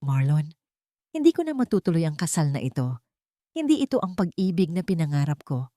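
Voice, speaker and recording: A woman narrator voicing a hurt, dignified bride in an audio storybook, firm, measured and accusing. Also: deep voice, sing-song, dark tone, dry recording, studio quality. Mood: resolute, sad.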